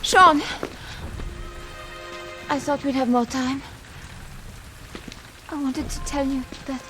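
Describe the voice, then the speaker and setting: A woman's voice: high voice, fairly even intonation, slow. A woman voicing an earnest, anxious female resistance fighter in a dramatic video game scene. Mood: urgent, tender. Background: loud music.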